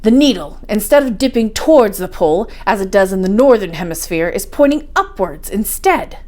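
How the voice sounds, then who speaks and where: A woman's voice: medium pitch, lively intonation, moderate pace, a little mellow, close to an everyday voice. A woman voicing a learned, confident older professor uncle in a story, speaking in an assured, didactic way. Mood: lecturing.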